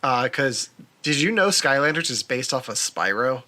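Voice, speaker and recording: A man with a bright, forward voice at a middle pitch. He talks as an enthusiastic podcast host, in loose, conversational phrases, like he is chatting with friends. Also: animated, moderate pace. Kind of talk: casual chat about movies and video games.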